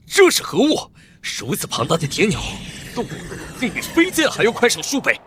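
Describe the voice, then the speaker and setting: A man voicing an arrogant, wrathful old cultivator, pompous and menacing, in a fantasy animated comic. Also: high voice, brisk, a little hiss or hum, full character voice. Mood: alarmed. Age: older.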